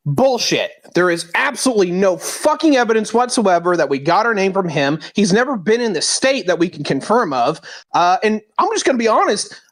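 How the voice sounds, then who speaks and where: A man with a notably high voice, from Indiana, ranting heatedly with plenty of swearing. He talks casually, like he's chatting with friends. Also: sing-song, a little fast. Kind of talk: casual chat.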